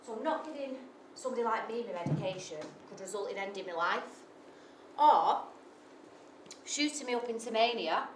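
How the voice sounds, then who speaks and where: A raw, volatile mother with bipolar disorder, played by an actress on stage, swearing freely. Her voice sits a little high and carries a live room's echo. The mic is set well back, and a steady hum of ambience sits behind her. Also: normal speed, long pauses, recorded from a distance, close to an everyday voice. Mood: matter-of-fact, then manic. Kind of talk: theatrical monologue. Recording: echoey stage recorded from afar.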